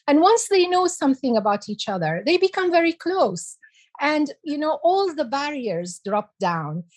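A woman in her sixties from Iraq, giving slow, measured answers as an interview guest. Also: high-pitched, big pitch swings. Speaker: guest, heritage expert.

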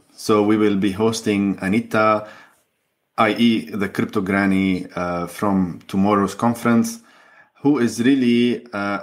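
A man with a fairly low voice hosts an online interview show. He greets his guest in a friendly way and asks his questions with many fillers and loosely built sentences. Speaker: show host.

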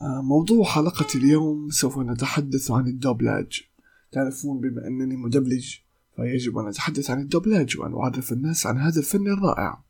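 A young man from Palestine, a voice actor, hosting a solo podcast in careful formal speech, pausing often with fillers and restarts. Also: mid-range voice, gravelly, a little mellow, breathy edge. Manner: hesitant, many "uh" fillers and restarts. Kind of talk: solo podcast talk in Modern Standard Arabic.

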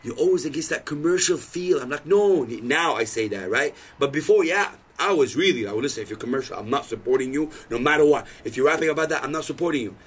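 A man's voice: fairly high pitch, quick pace, few pauses, slightly rough. A man in his thirties, a podcast guest, telling stories in casual chat and acting out the lines he quotes. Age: 30s. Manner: animated storytelling, acts out quoted lines.

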